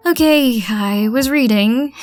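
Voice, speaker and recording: A woman's voice: fairly high pitch, darkish tone. A woman narrating a fan audio drama and voicing the listener's part, stammering and laughing self-consciously.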